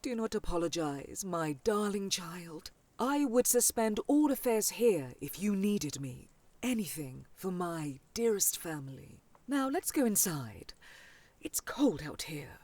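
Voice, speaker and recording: A woman's voice: on the low side, sing-song, unhurried, a little mellow, no echo. A woman playing a gracious, affectionate noble aunt in a fantasy audio drama, with stately and formal speech. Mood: tender, reassuring. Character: gracious, doting noble aunt of mature years.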